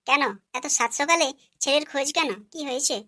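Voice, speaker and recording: A woman voicing a sharp-tongued, nagging village wife and mother in a comic cartoon. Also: high-pitched, fairly even intonation, brisk, no echo, studio quality. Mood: snappy, defensive. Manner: snappy, nagging.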